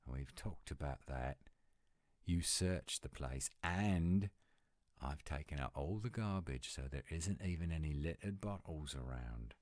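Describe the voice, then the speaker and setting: A man's voice: very deep voice, lively intonation, a little slow, a little mellow, no echo, studio quality. A man voicing a grumpy, exasperated British werewolf in a scripted audio roleplay, curt and scolding, with a British accent. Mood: stern, explaining.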